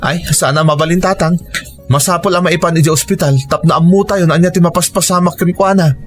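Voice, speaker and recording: A man's voice: fairly high pitch, steady tone, fast talker, faint music behind, close to an everyday voice. A man with a Filipino accent playing a worried, dutiful adult son in an earnest, emotional radio drama style. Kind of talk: Ilocano radio drama acting.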